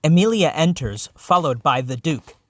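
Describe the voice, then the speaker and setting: A man's voice: on the high side, lively intonation, moderate pace. A man reading stage directions as the narrator of an audio play, in scripted narration.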